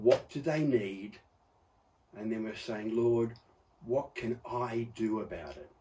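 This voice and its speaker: A man in his fifties preaching conversationally, explaining points with emphatic stresses and pauses. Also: mid-range voice, dark tone. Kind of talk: conversational sermon. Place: book-lined study or office indoors. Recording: slightly distant microphone.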